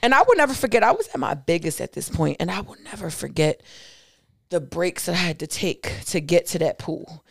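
A woman on a casual podcast chat, talking candidly and profanely in animated stories. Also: lowish voice, a little fast, vocal fry, dry recording, clean studio sound. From Puerto Rican family.

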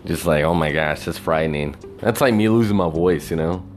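A man's voice: very deep voice, fairly quick. A man talking alone in a rambling, reflective way, wistful and full of "you know", with faint music behind him. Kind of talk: rambling personal monologue.

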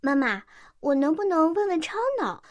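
A woman's voice: sits high, big pitch swings, moderate pace, dull audio. A woman playing an anxious, curious young child in a science-fiction radio drama. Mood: eager.

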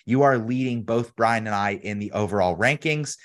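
A man's voice: on the low side, normal speed. A man hosting a podcast, chatting in a casual, upbeat and jokey way, full of energy. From United States. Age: adult, has a daughter. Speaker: podcast host.

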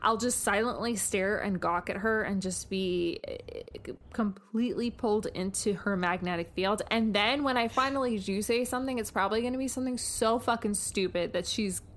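A woman's voice: mid-range voice, normal speed, hardly any pauses, fairly bright tone. A woman co-hosting a podcast in casual chat, with a playful, self-deprecating way of talking. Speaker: podcast co-host.

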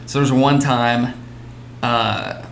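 A man's voice: mid-range voice, fairly even intonation, slow, vocal fry, fairly bright tone. A man with a Texas accent, chatting casually on a podcast and telling stories, with frequent laughs and restarts. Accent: Texan. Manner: laughs often, trailing restarts. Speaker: podcast host. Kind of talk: casual chat, storytelling. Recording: echoey room, faint background hiss.

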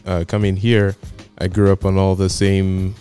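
A man in his twenties, a podcast guest telling his story in a laid-back, chatty way with soft laughs. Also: low voice, monotone, normal speed, soft background music. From United States. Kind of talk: casual chat.

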